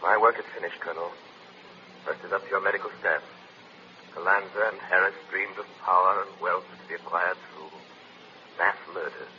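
An actor playing an invisible avenger in an old radio drama, authoritative, formal and ominous. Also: male voice, low-pitched, steady tone, fairly quick, bright, forceful tone. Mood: solemn. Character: invisible male avenger, commanding, ominous, courteous. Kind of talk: 1940s radio acting. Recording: muffled, faintly noisy old radio recording.

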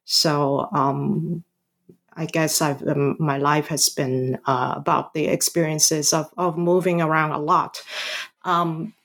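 A middle-aged woman from Hong Kong answers interview questions about her academic work. She speaks slowly in a low voice, pausing often with ums and restarting her sentences. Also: steady tone, a bit bright. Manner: halting, many ums and restarts. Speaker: guest author, historian.

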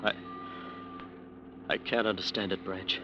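An actor playing a defensive, uneasy lawyer, hesitant and self-justifying, in 1940s radio drama style. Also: male voice, sits low, fairly even intonation, unhurried, dull audio. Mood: shaken. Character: defensive, uneasy male lawyer working for a gangster. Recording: noisy vintage radio recording.

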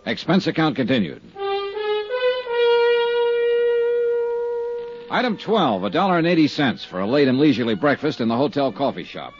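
Wry American private investigator: brisk, persistent, animated mid-range voice, vintage radio-drama sound. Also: male voice, mid-range voice. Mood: brisk, dry narration. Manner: sardonic, brisk, clipped. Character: wry, tough, persistent male insurance investigator. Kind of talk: 1950s radio drama acting and scripted narration. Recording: dull vintage 1950s radio recording.

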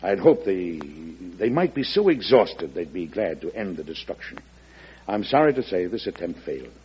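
An actor playing a grave, forceful American president in an old radio drama, speaking in a solemn, oratorical way. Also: male voice, deep voice, very animated, moderate pace, breathy edge, exaggerated voice. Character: grave, impassioned American president pressing for war. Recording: muffled old radio recording.

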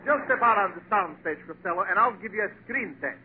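An actor playing a brisk, pompous Hollywood film director in a 1940s radio comedy. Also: male voice, high-pitched, animated. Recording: very noisy, tinny old radio recording.

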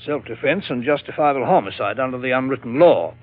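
An actor playing a smug, precise police inspector with a formal, insinuating manner in a classic radio drama. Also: male voice, mid-range voice, lively intonation, brisk, no room sound, close to an everyday voice. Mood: coolly smug. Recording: dull vintage radio recording.

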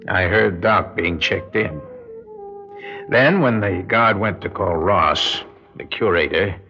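A deep, creaky-voiced male con man, world-weary and wary. He talks in a wry, street-wise way, like classic radio crime drama, on a dull lo-fi recording. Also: animated, slow, soft background music, heavily acted. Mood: tense narration. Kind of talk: classic radio drama acting with first-person narration.

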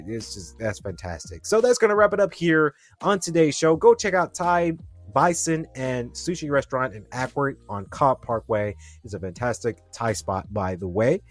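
A man in his twenties hosting a podcast, telling a rambling story and talking straight to his listeners. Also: mid-range voice. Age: 20s. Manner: rambling, chatty, addresses listeners directly. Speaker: podcast host. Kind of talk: casual solo podcast storytelling.

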